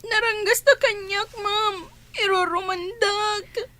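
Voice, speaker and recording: A tiny, very high girl's voice, slow and halting. She is a frightened, respectful runaway in an Ilocano radio drama, pleading timidly. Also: steady tone, bright, forceful tone, full character voice. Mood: tearful, frightened.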